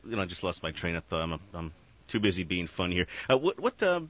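A man's voice: low voice, very animated, fast, lo-fi sound. A man hosting a radio interview, rambling and stumbling over his words as he asks questions. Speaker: radio host.

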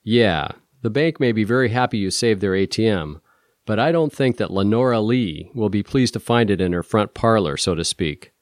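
A wry cop who likes to needle people, played by a male audiobook narrator. His voice is on the low side, recorded dry and close. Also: lively intonation, normal speed, dry recording, close to an everyday voice. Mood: wry, needling.

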